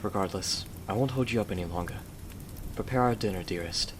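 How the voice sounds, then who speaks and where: A gentle, devoted warrior gentleman played by a man speaks in a low voice. He is formal and earnest, full of courtly endearments, in an ASMR roleplay. The recording carries clear noise. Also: a little fast, lightly acted. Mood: tender, devoted.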